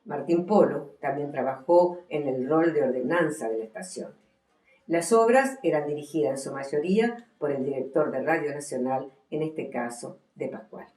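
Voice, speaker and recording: Low-voiced Argentine woman in an echoey room. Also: normal speed, dark tone. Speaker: author and historian, guest. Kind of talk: book presentation, reading aloud and recounting. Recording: echoey room, faint music.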